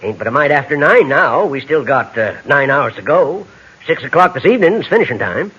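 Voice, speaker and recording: Folksy drawling rainmaker, lively pitch. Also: male voice, a little fast, husky, heavily acted. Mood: easygoing, sly. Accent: American rural western. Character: folksy, unflappable frontier rainmaker, cheerful and sly. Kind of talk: 1950s radio western acting. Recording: muffled, noisy old radio recording.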